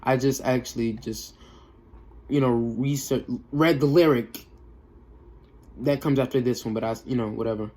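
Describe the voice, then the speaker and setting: A man's voice: mid-range voice, fairly even intonation, moderate pace, long pauses, warm tone. A young man giving a casual, off-the-cuff music review in a slangy, emphatic way, often repeating himself for effect. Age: young adult.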